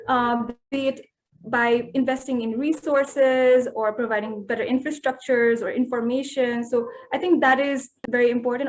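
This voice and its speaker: A woman's voice: fairly high pitch, a little hiss or hum, poor audio. A woman panelist speaking hesitantly, with many fillers. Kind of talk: panel talk.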